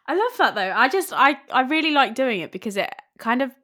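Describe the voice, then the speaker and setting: A woman hosting a casual interview, enthusiastic in her reactions and quick to laugh. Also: sits high, big pitch swings, pushed and bright. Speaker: podcast host.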